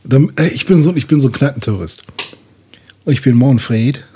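A man playing a crude bar-brawling old rocker in a tabletop game, halting and blunt as he improvises. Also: medium pitch, mellow, slight background noise, close to an everyday voice. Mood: gruff, blunt.

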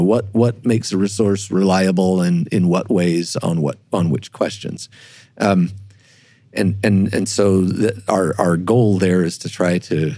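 Low mellow guy voice, kinda halting and careful, explaining stuff, dry close sound. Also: husky, no room sound. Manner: halting, repeats words, measured and careful. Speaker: church historian, interview guest. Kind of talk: explanatory discussion.

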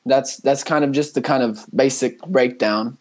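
A man's voice: mid-range voice, moderate pace. A young man in his twenties, raised in Honduras, talking casually and earnestly as a podcast guest, with frequent fillers and restarts. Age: 20s. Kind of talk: casual conversational interview.

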